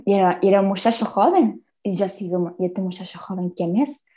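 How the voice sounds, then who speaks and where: A woman's voice: mid-range voice, a little fast. A woman in her twenties with a Chilean accent, telling a story in a casual chat, full of slang and laughter. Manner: animated, slangy, laughs often.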